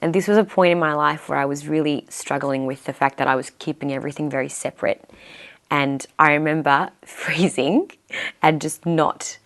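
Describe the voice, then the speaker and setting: Low, gravelly-voiced woman. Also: fairly even intonation. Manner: chatty, fills pauses with "um", laughs easily. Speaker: guest musician. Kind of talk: casual interview chat.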